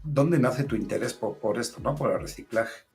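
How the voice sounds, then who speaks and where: A man hosting an online interview show, speaking in a halting way with frequent fillers. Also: mid-range voice, vocal fry, a little mellow, faint music behind. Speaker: host.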